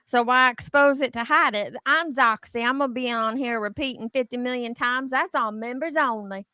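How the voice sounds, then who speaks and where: A woman's voice: on the high side, normal speed, sharp tone, no room sound, clean studio sound. A woman chatting casually as a co-host, blunt and gossipy, swearing freely and reading comments out dramatically. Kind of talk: casual chat with dramatic read-outs.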